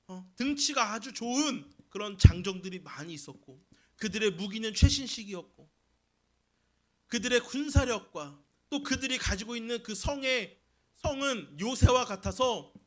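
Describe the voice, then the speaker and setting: A man preaching a Sunday sermon as a pastor, telling stories and exhorting with emphatic delivery. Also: very high voice. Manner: emphatic, rising into forceful declarations then easing into explanation.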